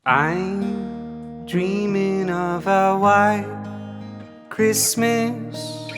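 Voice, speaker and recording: A woman singer performing a slow, seasonal Christmas song. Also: medium pitch, steady tone. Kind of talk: singing a slow Christmas song with acoustic guitar. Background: plucked acoustic guitar.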